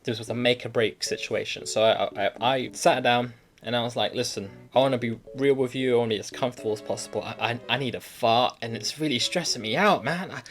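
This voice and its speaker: A man's voice: medium pitch, quick pace, hardly any pauses, fairly bright tone. A young man who hosts an advice show, reading out a viewer email and then chatting casually about it, laughing easily and restarting his sentences often.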